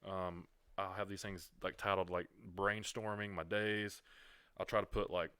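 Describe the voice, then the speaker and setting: A man's voice: low-pitched, little pitch movement, moderate pace, bright, forceful tone, clear, resonant tone, no room sound. A man in his twenties, a podcast guest, talking candidly and reflectively in casual chat. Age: 20s. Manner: candid, self-reflective storytelling.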